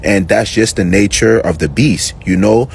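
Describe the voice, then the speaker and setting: A man's voice: lowish voice, moderate pace, creaky, a little hiss or hum. A man born in Liberia and raised in New York City, giving earnest commentary to camera, repeating himself and pleading. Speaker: reaction video host.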